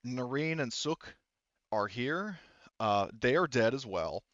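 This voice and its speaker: A man's voice: mid-range voice, animated, takes it slowly, fairly bright tone, no room sound, highs cut off. A man narrating a tabletop game as game master, casual and improvised, swearing freely. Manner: informal, frequent swearing.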